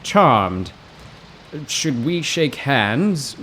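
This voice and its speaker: A timid, bookish 1930s professor. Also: male voice, medium pitch, very animated, drawn-out words, fairly bright tone, a little hiss or hum, full character voice. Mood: sheepish, polite. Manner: formal, ornate, apologetic, nervous. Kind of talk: 1930s-style radio comedy drama acting.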